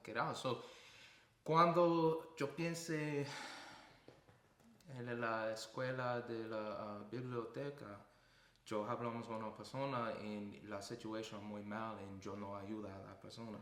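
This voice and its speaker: A man hosting a podcast, talking in a lively, jokey way and mixing broken Spanish into his English. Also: lowish voice, measured pace, echoey room. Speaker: podcast host. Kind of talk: casual interview chat.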